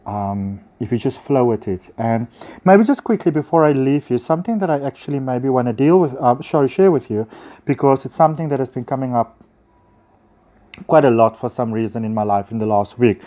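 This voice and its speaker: A man's voice: medium pitch, lively intonation, brisk, dark tone. A man with a South African accent talks casually and effusively to viewers in an enthusiastic, chatty vlog, recorded on a handheld phone. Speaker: spiritual healer and teacher.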